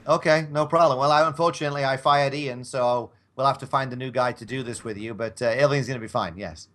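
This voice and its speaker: Man, medium pitch, casual podcast guest, rambling banter. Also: fast, a bit bright. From Scotland. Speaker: podcast guest, audio drama actor. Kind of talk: casual chat.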